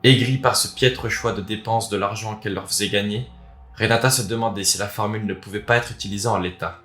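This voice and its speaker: Low-voiced Frenchman in an echoey room. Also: fairly even intonation, a little fast, fairly bright tone. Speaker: video host. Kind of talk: casual video introduction, then expressive reading of a written story. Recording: roomy echo, music underneath. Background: background music.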